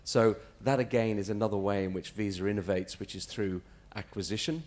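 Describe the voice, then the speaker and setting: A man with an Australian accent speaking on a conference panel, answering in a measured corporate way with small restarts. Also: lowish voice, fairly even intonation, a little mellow. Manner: measured, corporate, frequent self-corrections.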